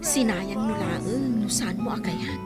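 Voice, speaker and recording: A woman acting a nosy, excitable young best friend in a comedy radio drama. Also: mid-range voice, unhurried, natural voice. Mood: gushing, nagging. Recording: loud music under the voice.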